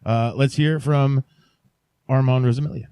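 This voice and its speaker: A male podcast host with a mid-range voice and a very dry, close recording. He rambles casually and haltingly, with a mock-sincere joking manner. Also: medium pitch, fairly even intonation, mellow, clean tone, dry recording.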